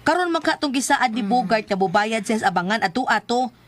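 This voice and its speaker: Woman acting a high-pitched nagging wife. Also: animated, a little fast, a little hiss or hum, close to an everyday voice. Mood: angry, insistent. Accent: Cebuano. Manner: scolding, insistent. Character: nagging, bossy, exasperated wife pushing her timid husband. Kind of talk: comic Filipino radio-style drama acting.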